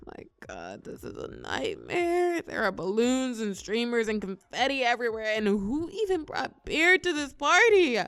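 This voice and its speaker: Woman acting a lively, bashful young birthday girl. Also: sits high, no room sound, exaggerated voice. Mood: flustered, exasperated. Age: young adult. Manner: bashful, halting, giggly, expressive. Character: shy, awkward wallflower birthday girl, young adult, warmly teasing. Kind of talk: scripted audio roleplay, natural intimate conversational acting.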